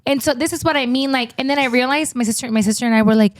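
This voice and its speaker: A woman's voice: sits high, racing, no room sound. A woman with a Cuban accent co-hosting a podcast, chatting animatedly and dropping Spanish words as she talks. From Cuban-American. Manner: animated, switches into Spanish words, laughs often. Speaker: podcast co-host. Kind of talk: casual chat.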